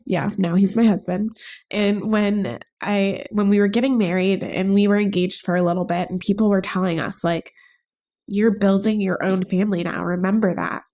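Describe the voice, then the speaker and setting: A young woman with a stuffy nose from a summer cold, hosting a reflective solo podcast in an earnest, gentle way. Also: medium pitch. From United States. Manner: earnest, gentle, thinking aloud. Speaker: podcast host.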